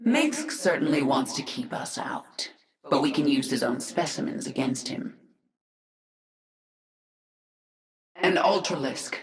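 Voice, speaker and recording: A woman playing a cold, resolute, vengeful alien swarm queen in a video game, speaking with measured authority. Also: sits low, lively intonation. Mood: commanding. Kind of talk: video game cutscene acting. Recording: echoing studio voice over game music.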